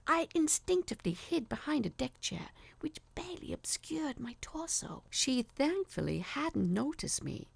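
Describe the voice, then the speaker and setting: Woman doing a creepy awkward young guy telling a horror story, raspy n crackly, confessional. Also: medium pitch, a little mellow, full character voice. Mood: hushed, tense. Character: crude, awkward, obsessive young male peeping Tom confessing his story. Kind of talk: scripted first-person horror story narration.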